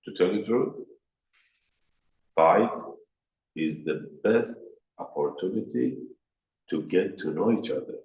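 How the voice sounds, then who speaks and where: A low-voiced man with a Persian accent speaks slowly and deliberately, giving a marriage workshop as a rabbi. He talks in short plain sentences and jumps higher when he acts out what people say. His voice rings in an echoey room. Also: animated, gravelly, darkish tone. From Iran. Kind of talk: workshop lecture.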